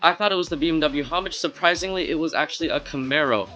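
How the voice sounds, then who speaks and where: A man's voice: fairly high pitch, fast talker, sharp tone, highs cut off. A young man whose first language is Chinese, narrating a racing game video in a chatty, self-mocking way. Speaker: gaming YouTuber. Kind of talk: casual game commentary voice-over.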